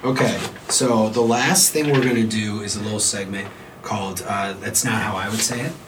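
A man's voice: on the low side, normal speed. A man co-hosting a podcast in easygoing casual chat, sounding nasal from a cold. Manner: easygoing, agreeable, animated retelling. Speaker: podcast co-host. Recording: distant mic with noise.

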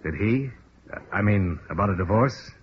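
An actor playing a terse, cool insurance investigator with a clipped, dry delivery in 1950s hardboiled radio drama. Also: male voice, on the low side, animated, moderate pace, a little hiss or hum, close to an everyday voice. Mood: probing, cool. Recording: dull old radio broadcast recording.

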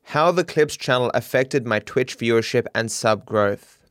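A man's voice: medium pitch, unhurried, a bit bright. A tired man from Australia with a cultivated Australian accent, musing as he answers viewer questions in a casual ramble, trailing off with fillers and restarts.

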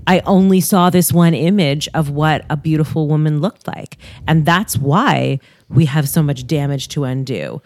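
A woman's voice: low-pitched, moderate pace, a little mellow. A young adult woman, a guest in a casual interview, talking in an upbeat, motivational way. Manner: upbeat, motivational, rises when giving advice.

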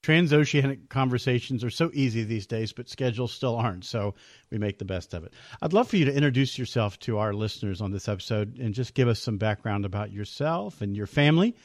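A man's voice: mid-range voice, expressive, fairly quick, no echo, studio quality. A man hosting a faith podcast, giving an earnest, upbeat scripted introduction and then interviewing a guest. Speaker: podcast host.

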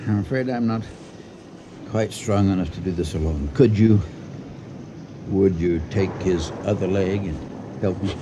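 An actor playing an anxious but practical elderly husband in a radio drama, firm, measured and patronizing with his wife. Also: sits low. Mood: uneasy, steady. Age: older. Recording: noisy 1980 radio drama recording.

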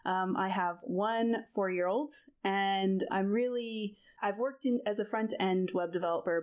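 A woman from California hosting a casual podcast chat over a remote call, chatty and quick to laugh. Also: medium pitch, darkish tone. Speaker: podcast host.

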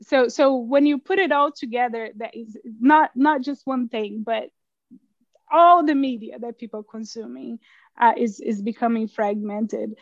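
A woman in middle age talks slowly and reflectively, often circling back over her words with little laughs. Her voice sits a bit high. The recording is dry and somewhat dull, close to the mic. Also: dry recording. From Brazil. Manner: thoughtful, many restarts and soft laughs. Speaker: interviewed writer. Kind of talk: casual interview conversation.

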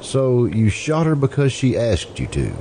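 An actor playing a blunt, skeptical interrogator in an old-time radio drama, pressing with sardonic questions. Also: male voice, medium pitch, sing-song, unhurried, warm tone, slight background noise.

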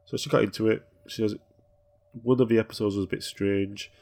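A man co-hosting a podcast, telling rambling, relaxed stories in casual chat. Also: on the low side, a little fast, vocal fry. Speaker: podcast co-host.

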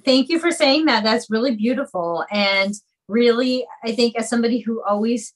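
A woman's voice: fairly high pitch, moderate pace. A woman who is a mother and singer, speaking earnestly and from the heart as a guest in an interview, in long flowing runs. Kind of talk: personal interview conversation.

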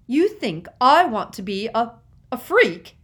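A woman voicing a bitter, scornful older sister in an audiobook, with a sneering, forceful delivery. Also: medium pitch, huge pitch swings, a little slow, voice pushed up.

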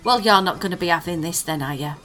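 A woman's voice: on the low side, quick pace, fairly bright tone, faint music behind. A woman co-hosting a casual podcast chat, teasing her co-host and reading out listener messages. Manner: chatty, teasing, reads listener tweets aloud.

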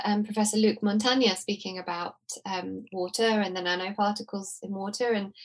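A woman's voice: medium pitch, poor audio. A woman hosting an interview, a bit bunged up from hay fever, asking questions in a friendly way. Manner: friendly, asks questions, laughs along. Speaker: podcast host. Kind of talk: conversational interview.

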